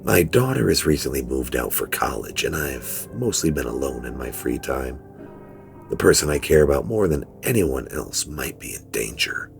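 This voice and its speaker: A man playing a weary, fearful middle-aged father, giving a grave, measured first-person confession in a horror story narration, with a low, gravelly voice. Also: big pitch swings, faint music behind, full character voice. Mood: uneasy, confessional.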